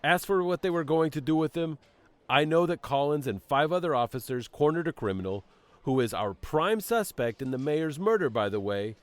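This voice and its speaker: Man playing a stern, sardonic police commissioner, very animated. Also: a little high, moderate pace, no echo, natural voice. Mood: defensive, exasperated. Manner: sardonic, authoritative, clipped formal phrasing. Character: stern, bureaucratic male police commissioner, condescending to his former partner. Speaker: voice actor in an audio drama. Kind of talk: dramatic audio drama acting.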